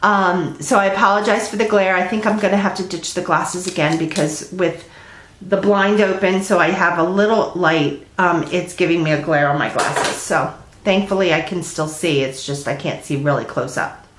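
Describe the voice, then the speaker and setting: A woman's voice: fairly low pitch, normal speed, a little hiss or hum. Stuffed-up middle-aged woman rambling about her stitching at home, lots of ums, kinda roomy echo. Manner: rambling, chatty with many ums. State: congested with a cold. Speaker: flosstube host. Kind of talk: casual cross-stitch vlog chat. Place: at home, indoors.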